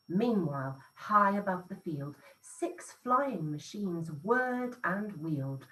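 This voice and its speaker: Unhurried woman teacher reading a children's book, sing-song and expressive, a bit off-mic. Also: medium pitch, recorded from a distance, poor audio. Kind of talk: reading a rhyming picture book aloud.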